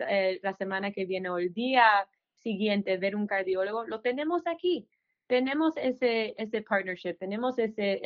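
A woman in her thirties with an American English accent presents to a group of residents in careful, hesitant Spanish. She pauses on fillers and reaches for English words, and her voice sits at a middle pitch. The recording is slightly dull and lo-fi. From United States. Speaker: clinic manager.

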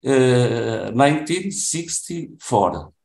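A man in his seventies from Portugal, speaking English with a Portuguese accent, introducing himself in class in a halting way with many restarts. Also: mid-range voice, expressive, gravelly, a little mellow. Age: 70s. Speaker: language student. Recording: roomy echo.

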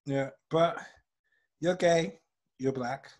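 A man's voice: drawn-out words, darkish tone. Chatty British man hosting a podcast. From United Kingdom. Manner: chatty, drops to a low mutter for asides. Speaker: podcast host. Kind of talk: casual chat.

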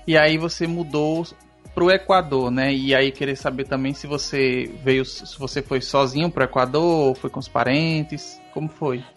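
A man with a middle-pitched voice conducts a casual interview. His questions wander and loop back on themselves as he goes. Soft music sits faintly in the background. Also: fairly even intonation, moderate pace. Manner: rambling, meandering questions.

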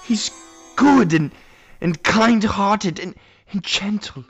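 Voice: mid-range voice, takes it slowly, gravelly, breathy edge, muffled recording, faint music behind, heavily acted. An actor playing a tender, frightened young boy prince and loving little brother in an amateur fantasy audio drama. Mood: distraught, pleading.

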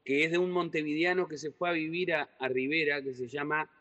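A man, answering questions in a conversational way. His voice sits a little high, and he stumbles and repeats words as he thinks aloud. The recording is dull, with a lingering room reverb. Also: steady tone, fairly quick, bright, forceful tone. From Uruguay. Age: 40s. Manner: rambling and hesitant, with many repeated words. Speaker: writer and guest. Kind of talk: conversational interview.

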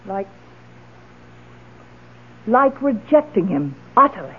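An actress playing a wry, practical middle-aged widow in a 1970s radio drama, with decisive, deliberate phrasing. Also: female voice, mid-range voice, big pitch swings, slightly rough, exaggerated voice. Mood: resolute. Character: gray-haired widowed wife, wry, practical and resolute. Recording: muffled, band-limited vintage radio broadcast.

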